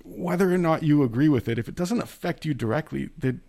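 A man's voice: on the high side, fairly quick, creaky, darkish tone. A man in a casual discussion, talking hesitantly with frequent restarts and self-deprecating asides. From Italian immigrant family background. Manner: hesitant, self-correcting, laughs at himself. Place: remote call.

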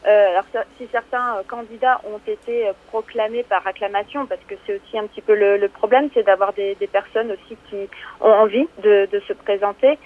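A woman radio host delivering brisk, informative chat. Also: medium pitch, fairly even intonation. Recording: thin phone-like broadcast sound.

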